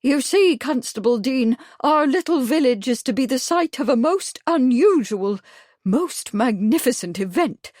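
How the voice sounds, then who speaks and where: A woman voicing a loud, pompous middle-aged village busybody who speaks shrilly and officiously. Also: high voice, animated, measured pace, dry recording, studio quality, voice pushed up. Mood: insistent.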